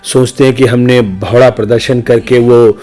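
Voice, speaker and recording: A man's voice: medium pitch, fairly even intonation, normal speed, dark tone, faint music behind. A middle-aged man giving reflective, opinionated answers as a podcast guest, speaking emphatically in rhetorical, repeated phrases. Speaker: interview guest, senior news journalist.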